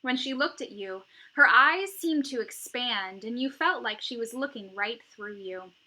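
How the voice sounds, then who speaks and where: A woman teacher reading a children's novel aloud, with expressive, emphatic phrasing and dramatic pauses. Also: a little high.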